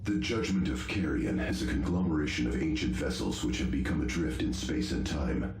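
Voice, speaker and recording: A man's voice: very deep voice, moderate pace, a little mellow, slight background noise. A man playing a solemn, scholarly space marine technician in a video game, giving formal and precise briefings. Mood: solemn, certain. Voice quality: gravelly. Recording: roomy game voice over music.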